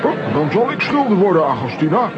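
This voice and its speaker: An actor playing a boastful, lovesick, foolish would-be painter in a theatrical children's audio drama. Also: male voice, high-pitched, big pitch swings, a little fast. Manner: pompous, theatrical. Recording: dull recording with loud music behind.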